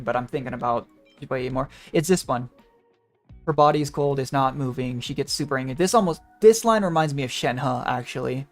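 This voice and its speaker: Young female streamer, very deep voice for a woman, casual rambling game commentary, faint music behind. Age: young adult. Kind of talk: casual live gameplay commentary. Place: indoors, live stream with game audio behind.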